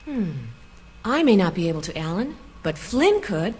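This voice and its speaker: A woman's voice: medium pitch, big pitch swings, normal speed, loud music behind the voice, natural voice. A woman playing a confident young scientist on a read-along record, explaining things matter-of-factly. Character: bright, confident young female laser scientist.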